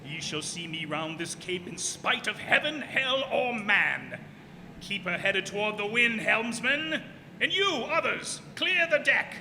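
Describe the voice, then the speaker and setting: Radio play villain: blasphemous proud sea captain, archaic commanding declamation, very piercing, slow delivery. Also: male voice, high voice, sing-song, creaky, bright, forceful tone, heavily acted. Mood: defiant. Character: mad, defiant, blaspheming Dutch sea captain, proud tyrant. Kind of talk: old-time radio drama acting. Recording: hall reverb, faint background noise.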